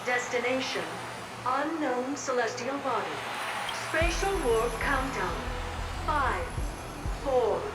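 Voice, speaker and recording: Emotionless game system assistant lady, high n slow, echoey, music loud behind. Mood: impassive. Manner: flat, procedural announcing. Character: emotionless female mirror assistant announcing a warp countdown. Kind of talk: video game voice acting.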